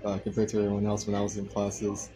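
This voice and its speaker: A man in his twenties chatting casually on a live stream, soft-spoken and hesitant, with many fillers. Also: on the low side, completely flat delivery, quick pace. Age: 20s. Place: indoor room by a window.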